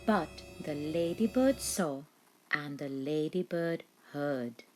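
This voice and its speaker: A woman reading a children's storybook aloud in an expressive, deliberate way, like a teacher. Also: sits low, soft background music. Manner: deliberate, animated with acted animal noises and voices.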